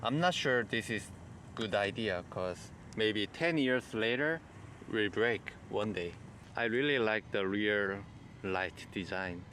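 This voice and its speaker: A man with a Korean accent, whose first language is Korean, gives a car review walkthrough in plain, halting phrases. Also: fairly low pitch, a bit bright, a little hiss or hum. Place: in and around a car.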